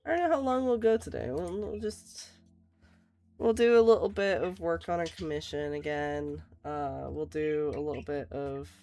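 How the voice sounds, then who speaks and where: Hoarse raspy woman streamer. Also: normal speed, mellow. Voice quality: raspy, lower than usual. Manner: laughs often, reassuring, rambling. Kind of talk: casual chat while drawing.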